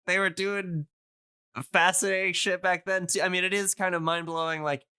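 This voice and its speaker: Bright, clear-voiced man chatting on a podcast. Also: high-pitched, little pitch movement, moderate pace, no echo, clean studio sound. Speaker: podcast guest and audio fiction podcaster. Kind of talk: casual, enthusiastic info-dumping podcast chat.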